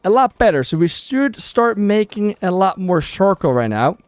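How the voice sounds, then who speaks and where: A man's voice: sits high, very animated, normal speed, no room sound. A young man from southern Sweden with a Swedish accent, chatting casually with viewers while streaming a strategy game. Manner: chatty, thanks viewers and reads chat aloud. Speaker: gaming livestreamer. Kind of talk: casual live-stream chat over gameplay.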